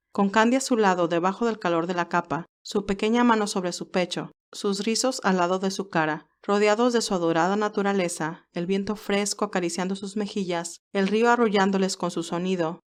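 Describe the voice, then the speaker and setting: A woman narrates a scripted romantic story. Her voice sits at a medium pitch, and she reads as the storyteller between the characters' dialogue.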